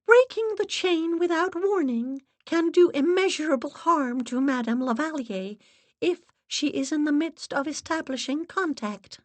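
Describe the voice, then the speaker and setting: A woman's voice: sits high, expressive, unhurried, no echo, voice pushed up. A woman playing a stern, commanding medium's assistant. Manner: stern, commanding, like a strict headmistress.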